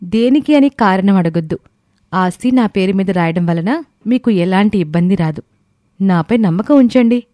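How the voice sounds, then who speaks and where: A woman playing a thoughtful, protective young wife in an audio story, speaking in an earnest, measured way. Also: medium pitch, lively intonation, dark tone, dry recording, close to an everyday voice. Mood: calm, earnest. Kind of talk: scripted audio story dialogue.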